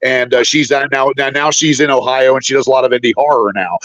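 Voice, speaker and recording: A man from West Virginia with a booming voice, chatting casually as a guest and often stammering and repeating his words. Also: steady tone, fast, fairly bright tone, no room sound. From West Virginia, United States. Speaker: guest, indie filmmaker. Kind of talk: casual chat. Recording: dull lo-fi stream audio.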